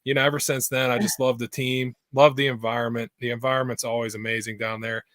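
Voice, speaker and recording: A man's voice: medium pitch, little pitch movement, fast talker, fairly bright tone, no room sound. A man in his twenties from Pennsylvania, a guest on a video call, chatting in a laid-back way full of fillers. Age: 20s. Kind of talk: casual chat.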